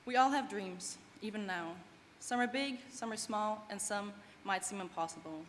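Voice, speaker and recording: A woman's voice: mid-range voice, moderate pace. German woman giving a graduation speech in a hall. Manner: earnest, heartfelt reflection. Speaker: student graduation speaker. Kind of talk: prepared commencement speech. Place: large event hall. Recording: long hall reverb, faint background noise.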